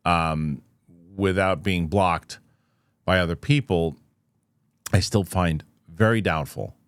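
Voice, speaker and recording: A man with a fairly low voice, recorded dry with little room sound. He gives casual, unscripted news commentary as a show host. His delivery is halting and skeptical, as he reasons out loud and puts questions to the viewer. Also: no echo. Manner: halting, thinking aloud, rhetorical questions.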